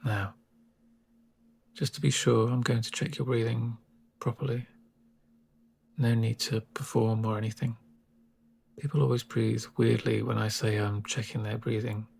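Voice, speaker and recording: A man playing a calm medic in a soft-spoken ASMR roleplay, gentle and reassuring with dry humour and a breathy, near-whispered voice. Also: mid-range voice, a little hiss or hum. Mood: dryly amused. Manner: gentle, unhurried, reassuring murmur.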